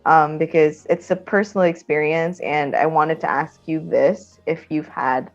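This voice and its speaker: A woman's voice: low voice, steady tone, unhurried, dull audio, faint music behind. A woman in her twenties hosting a podcast from her room, talking casually and conversationally with many fillers. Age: 20s. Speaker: podcast host. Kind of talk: casual interview. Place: her own room, at home.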